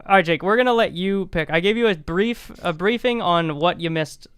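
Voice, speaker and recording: A man hosting a podcast in casual chat, upbeat and teasing in quick banter. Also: high voice, fairly bright tone, clear, resonant tone, no room sound. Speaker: podcast co-host.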